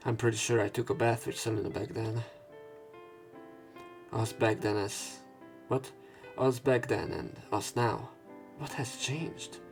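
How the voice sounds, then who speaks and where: Low male voice, gravelly fry, let's play narration, visual novel read-aloud, game music behind. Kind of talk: reading visual novel text aloud with casual asides. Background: visual novel game music and sound effects.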